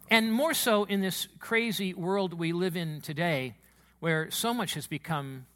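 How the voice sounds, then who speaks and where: A pastor preaching a sermon in his church, his booming voice sitting high with a bright, forceful edge. He is emotional and quick to get excited as he speaks to the congregation. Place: church sanctuary.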